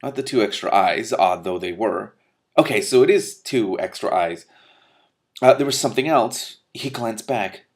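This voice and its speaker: A man with a fairly low voice reads a story aloud for his audience as host. His delivery is expressive, and he drops out of the narration into candid, informal asides, sometimes swearing. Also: moderate pace. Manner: breaks off to react candidly, with swearing. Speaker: host reading a novel aloud with commentary. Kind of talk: expressive book reading with casual reactions.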